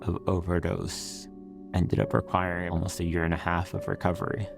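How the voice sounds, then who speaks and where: A man from El Salvador telling his personal story in a measured, reflective way. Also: low voice, completely flat delivery, vocal fry. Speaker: research interviewee. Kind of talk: personal testimony. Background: music.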